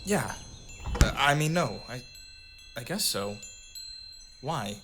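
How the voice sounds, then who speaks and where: A man playing a soft, eager teenage boy in an audio drama, with a mild, hesitant, conciliatory way of talking. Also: mid-range voice, animated, takes it slowly, background noise. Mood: hesitant, placating. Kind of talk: audio drama acting and narration.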